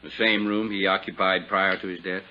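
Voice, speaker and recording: An American man acting as a formal, probing small-town inquest official in a 1950s radio drama, measured and official. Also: low voice, flat delivery, close to an everyday voice. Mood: formal questioning. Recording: dull, noisy 1950s radio recording.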